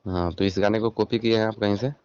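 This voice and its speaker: A Bihari man with a low, clear voice presses someone over the phone as a channel reporter. He is insistent and scolding, and the call sounds dull and dry, with little room sound. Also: fairly even intonation, fast, warm tone, dry recording. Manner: insistent, admonishing. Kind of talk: confrontational phone interview. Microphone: recorded phone call.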